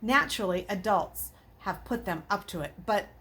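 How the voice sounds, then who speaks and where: A woman voicing a shrewd male double agent in a storybook, with a measured, reasoning manner. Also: medium pitch, fairly bright tone, lightly acted.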